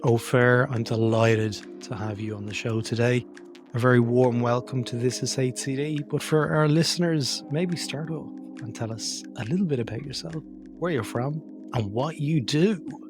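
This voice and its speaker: Male podcast host, friendly interviewer, music bed clearly under him. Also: mid-range voice, moderate pace. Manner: friendly, encouraging with short backchannels.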